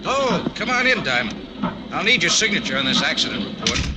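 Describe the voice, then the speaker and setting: A man's voice: on the high side, very animated, a little fast. An actor playing an obliging, businesslike male factory manager in 1940s radio drama style. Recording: noisy old radio recording.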